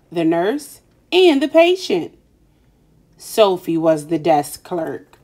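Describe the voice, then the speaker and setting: Slow, animated woman reading to children. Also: mid-range voice. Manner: dramatic emphasis on key words. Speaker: children's read-aloud storyteller. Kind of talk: expressive picture book narration.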